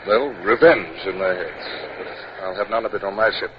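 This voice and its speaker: An actor playing a stern but courteous sea captain in an old radio drama, with a commanding, formal manner. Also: male voice, low voice, normal speed, natural voice. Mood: grave. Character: authoritative male sea captain, courteous to ladies, stern with crew. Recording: dull, very noisy 1950s radio recording.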